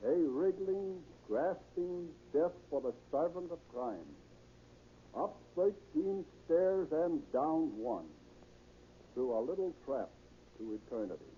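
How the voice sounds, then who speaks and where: American male police chief, formal oratory, slow with dramatic pauses, moralizing, 1930s radio, dull and faintly noisy recording. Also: sits high, mellow. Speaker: police chief, guest speaker.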